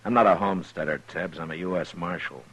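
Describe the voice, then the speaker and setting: An actor playing a calm, determined U.S. Marshal in a radio western, speaking in an unhurried, understated, firm manner. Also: male voice, deep voice, husky. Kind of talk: 1950s radio western acting.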